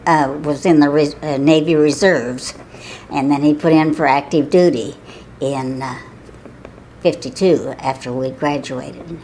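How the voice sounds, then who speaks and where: A woman's voice: sits low, fairly even intonation, unhurried, dark tone, slight background noise. Older woman with a Southern Illinois accent. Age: 80s. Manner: chatty, laughs often, with false starts. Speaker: oral history interviewee. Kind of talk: casual reminiscing.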